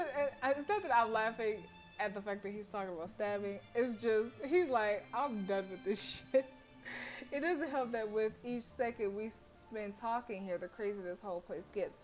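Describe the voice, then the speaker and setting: A woman streams a story game, moving between reading narration and informal reactions. Her voice sits at a middle pitch and is expressive. Faint game music plays behind her. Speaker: livestreamer reading a visual novel. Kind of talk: casual game commentary and read-aloud narration.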